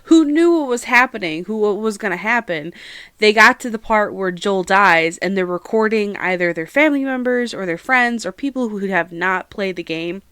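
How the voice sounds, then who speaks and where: A woman's voice: medium pitch, expressive, moderate pace, fairly bright tone, clean tone, no room sound, clean studio sound. A young woman hosting her own podcast from home, chatting casually and rambling on, her voice nasal from a head cold. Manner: chatty, rambling, self-aware about yapping. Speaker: podcast host. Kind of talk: casual solo podcast chat.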